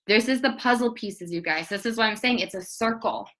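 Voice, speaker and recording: A woman's voice: a little high, fairly quick, a bit bright. A woman in her thirties giving a casual team training talk, chatty and earnest, full of "like" and restarts, encouraging her listeners. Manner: chatty, filler-heavy, rambling.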